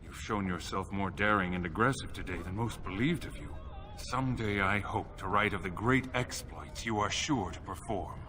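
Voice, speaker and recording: An actor playing a principled, stubborn but humble historian in a fantasy game, speaking formally and earnestly. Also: male voice, on the low side, moderate pace, noisy background, lightly acted. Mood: grateful. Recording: music behind the voice.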